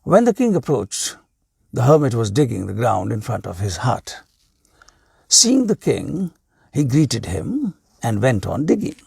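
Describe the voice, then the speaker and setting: Male narrator, very creaky husky voice, lively rising and falling pitch, deliberate phrasing, story reading. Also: dry recording, studio quality. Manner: deliberate, with pauses between short phrases. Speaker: storyteller reading a tale aloud.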